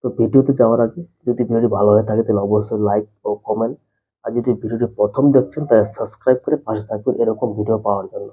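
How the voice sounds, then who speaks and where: A man's voice: fairly low pitch, fairly even intonation, racing, mellow, husky. A man narrating a step-by-step phone tutorial, explaining things repetitively and addressing viewers directly. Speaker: tech tutorial host. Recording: muffled, dull lo-fi voice-over.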